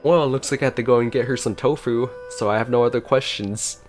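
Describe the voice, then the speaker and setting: A man's voice: mid-range voice, steady tone, fairly quick, darkish tone, soft background music. A man giving casual gameplay reaction commentary as a streamer, informal and exclamatory, easily impressed. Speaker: gaming streamer.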